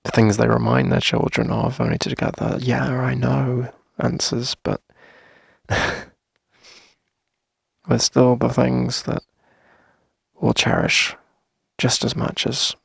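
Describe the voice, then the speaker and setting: A man playing a tender, reassuring boyfriend in an intimate ASMR roleplay, speaking softly and close to the mic with gentle, coaxing pauses. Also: little pitch movement, dry recording, heavily acted. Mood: soft, wistful. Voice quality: husky, breathy, creaky low murmur. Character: tender, reassuring boyfriend longing to start a family. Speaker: ASMR audio roleplay performer. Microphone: close dry microphone.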